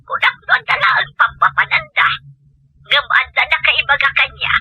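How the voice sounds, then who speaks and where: A woman's voice: high voice, big pitch swings, normal speed, sharp tone, no echo, full character voice, low-quality recording. A woman playing a worried, aggrieved mother who complains emphatically in an Ilocano accent, in an audio drama. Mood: aggrieved, indignant. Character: worried, aggrieved mother tattling on her gambling husband and son-in-law. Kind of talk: Ilocano comedy drama acting.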